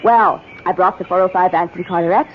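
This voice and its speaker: A woman's voice: fairly low pitch, a little fast, close to an everyday voice. Woman playing a breezy, headstrong young adventuress. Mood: playful, stubborn. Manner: breezy, coaxing, self-assured. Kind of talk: radio drama acting. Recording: dull radio recording, faint noise.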